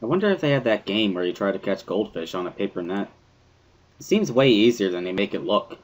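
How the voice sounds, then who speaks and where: A man's voice: lowish voice, animated, brisk. A man reading first-person visual novel narration in an introspective storytelling manner. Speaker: dramatic reader of a visual novel.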